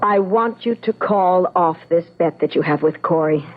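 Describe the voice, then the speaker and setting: An actress playing a defiant, sharp-tongued young woman, firm and indignant, in old-time radio drama style. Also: lowish voice, normal speed, close to an everyday voice. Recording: dull, muffled old radio sound.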